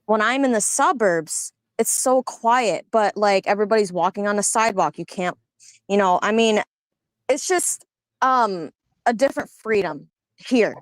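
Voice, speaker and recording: A woman's voice: mid-range voice, lively intonation, moderate pace, no room sound. A woman in a casual political discussion, speaking reflectively with many fillers. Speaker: panelist.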